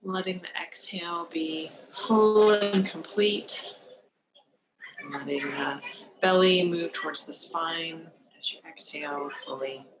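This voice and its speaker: A woman leading a guided meditation as a teacher, speaking in a calm, gentle, unhurried manner with long pauses, over a video call. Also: sits low. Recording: noisy live video-call recording.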